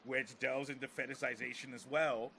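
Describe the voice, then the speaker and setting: A man's voice: medium pitch, flat delivery, moderate pace, vocal fry, sharp tone, slight background noise. An American man talking casually and with strong opinions on a podcast, emphatic and repeating phrases as he builds a point. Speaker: podcast host, comedian.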